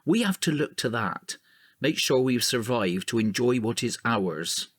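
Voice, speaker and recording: A man's voice: mid-range voice, animated, unhurried, noisy background, lightly acted. A man playing a weary but resolute middle-aged father in an audio drama, terse and firm.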